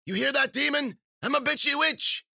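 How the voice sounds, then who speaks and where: Man acting a mad old witch woman, high-swooping, loud, crude, taunting, cackling, storybook villain. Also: huge pitch swings, normal speed, creaky, husky, no room sound, clean studio sound, heavily acted. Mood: gleeful cackling.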